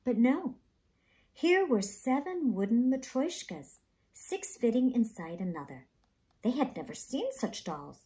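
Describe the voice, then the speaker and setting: Woman storyteller, children's storybook narration, very animated pitch, slow pace, emphatic. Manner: dramatic, emphatic delivery. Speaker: storyteller reading aloud.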